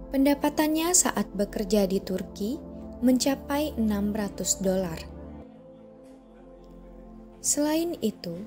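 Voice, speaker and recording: Female narrator, for scripted news documentary; mellow tone, formal measured read, light music bed. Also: medium pitch.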